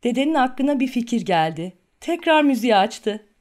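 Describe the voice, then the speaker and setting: High-voiced woman narrating for children. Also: sing-song, moderate pace, darkish tone. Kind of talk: scripted children's storybook narration.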